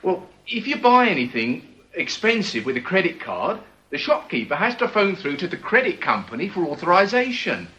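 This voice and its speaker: Timid, high-pitched, stammering worrier. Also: male voice, big pitch swings, moderate pace, bright, forceful tone, exaggerated voice, poor audio. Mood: nervous, apologetic. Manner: hesitant, stammering, deferential. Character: timid, apologetic, pessimistic male salesman in a gang. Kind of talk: radio drama acting.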